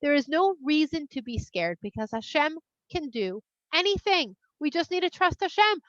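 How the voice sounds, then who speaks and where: A woman plays a brave storybook scout with a very high voice. The delivery is urgent, emphatic and encouraging, rallying frightened people. It is recorded dry, slightly dull. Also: big pitch swings, normal speed, no room sound. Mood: rousing, confident. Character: brave, reassuring male scout rallying a scared crowd.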